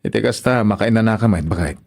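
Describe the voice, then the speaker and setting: A man playing an easygoing, hardworking truck driver and husband in a radio drama, with expressive, drawled interjections. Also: lowish voice, fast, gravelly.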